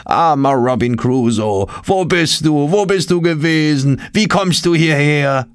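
A man voicing a talking parrot in an audiobook, calling out mournful, repetitive questions in a drawn-out way. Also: a little high, expressive, smooth, dry recording, clean studio sound, pitched above the performer's own voice. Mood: mournful questioning.

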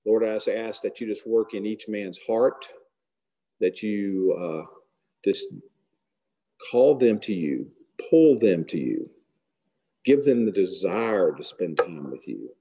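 Middle-aged male guest speaker, mellow low voice, earnest sermon-style talk, softly trailing phrases. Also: animated. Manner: earnest, unhurried, trailing off softly at line ends. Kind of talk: devotional talk and prayer. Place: large hall. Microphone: camera close below the face.

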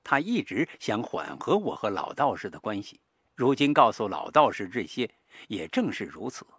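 Male audiobook narrator: lowish, husky voice, animated delivery, suited to dramatic horror mystery. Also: normal speed, no echo, clean studio sound.

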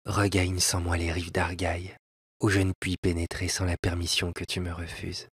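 A man voicing an exiled male elf, gentle and sorrowful, in a soft, lyrical pleading delivery. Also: low voice, flat delivery, moderate pace, slightly rough, no echo, exaggerated voice. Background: faint piano.

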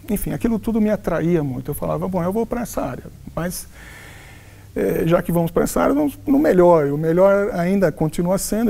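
An older man from São Paulo recounts his life in a soft, halting way, doubling back on his words. His voice is gravelly and mellow, fairly high for a man, picked up by a lapel mic in a quiet office. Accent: Paulistano Brazilian Portuguese. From São Paulo, Brazil. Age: 60s. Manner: halting, frequent restarts and self-corrections. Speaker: interviewed professor. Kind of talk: reflective life-story interview. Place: furnished office with wooden cabinets, indoors.

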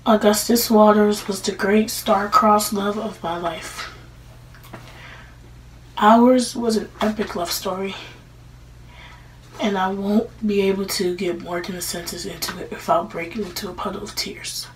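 A woman playing a grieving, lovestruck teenage girl in a halting, emotional dramatic eulogy monologue. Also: mid-range voice, steady tone, lightly acted. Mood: sorrowful, tender. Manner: halting, emotional delivery with pauses. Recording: distant mic with faint room noise.

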